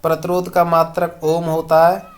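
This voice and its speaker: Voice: mid-range voice, completely flat delivery, normal speed. An exam coaching teacher reads a lesson aloud in a methodical question-and-answer manner, giving short answers. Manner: methodical quiz delivery, each question followed by a short answer.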